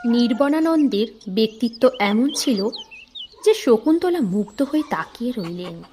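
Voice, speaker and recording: A woman narrating an audio story in an expressive, dramatic storytelling manner. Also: a little high, moderate pace, a little hiss or hum.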